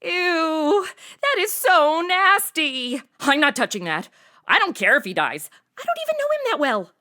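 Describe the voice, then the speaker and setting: A flippant young American woman as an actress plays her in a comedy audio drama. Her high, bright voice swings widely through slangy, exaggerated sarcasm, self-centered and brash. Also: sing-song, unhurried, exaggerated voice. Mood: flippant, grossed out.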